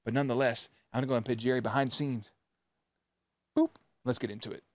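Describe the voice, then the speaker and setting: A man hosts a reaction video in a casual, playful way. His voice sits at an average pitch, and he talks in loose slang with plenty of swearing and goofy asides. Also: fairly even intonation, quick pace, a little hiss or hum. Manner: jokey, playful, slangy and profane.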